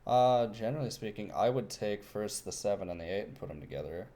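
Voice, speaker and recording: A man's voice: on the low side, expressive, fast, warm tone. A man co-hosting a podcast in casual chat, laid-back and dry, mostly giving short agreeing replies. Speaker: podcast co-host.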